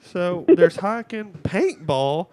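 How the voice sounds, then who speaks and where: A man's voice: high voice, sing-song, slow pace, mellow, no room sound, heavily acted. A man voicing a fed-up wife who is casually and sweetly morbid, on an improvised prank call. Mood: deadpan scheming.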